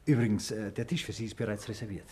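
An actor playing an eager, deferential hotel waiter in a 1950s German radio drama. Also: male voice, fairly low pitch, fast talker, warm tone, a little hiss or hum, lightly acted. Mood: attentive, brisk. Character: brisk, obsequious male hotel waiter.

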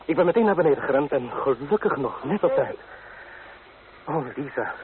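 A man's voice: fairly high pitch, expressive, moderate pace, close to an everyday voice. An actor in a radio drama playing an ardent, devoted young man in love, speaking romantically. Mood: relieved, tender. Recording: tinny, noisy old radio recording.

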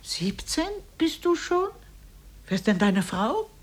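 An actress playing a kindly, chatty, scolding old aunt with a husky voice and courteous, expressive radio drama delivery. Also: female voice, mid-range voice, slow pace. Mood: amused, matchmaking. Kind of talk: 1950s German radio drama acting.

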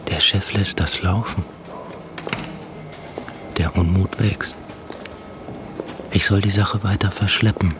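An actor playing an uneasy company insider thinking to himself in a radio drama, brooding. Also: male voice, deep voice, fairly even intonation, takes it slowly, long pauses. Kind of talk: radio drama inner monologue. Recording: clear noise with clicks behind.

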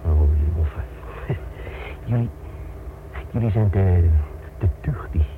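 An actor playing a brash, jovial American crook in a radio drama, breezy, familiar and talkative. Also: male voice, sits low, slow. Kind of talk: Dutch radio drama acting. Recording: muffled, noisy old radio play tape.

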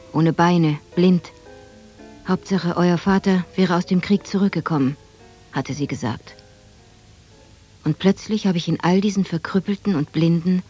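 An actress playing a grown woman who narrates her childhood memories in a measured, reflective way for a radio drama. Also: fairly low pitch, warm tone, husky.

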